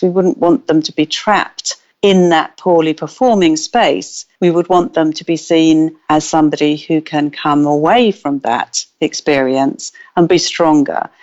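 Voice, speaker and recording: A woman researcher giving a measured, thoughtful explanation in an interview. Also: low-pitched, a little mellow. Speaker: researcher guest. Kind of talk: interview answer. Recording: dull lo-fi audio.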